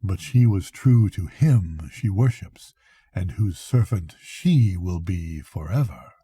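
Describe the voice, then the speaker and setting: A sly, evil soul from a bedtime storybook, as an older man reads him, coaxing in archaic phrases. The voice is low and husky, very mellow, and never hurried. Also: lively intonation, no echo, studio quality, full character voice. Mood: cold, defiant. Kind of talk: storybook reading.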